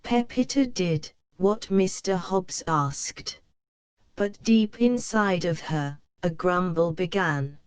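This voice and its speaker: A woman narrating a children's storybook in a slow, clear read-aloud manner. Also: on the low side, animated, dry recording.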